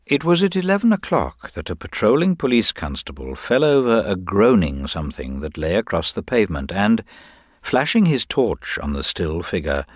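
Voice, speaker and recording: A man's voice: lowish voice, no echo, clean studio sound. A man reading scripted, dramatic narration of a crime story.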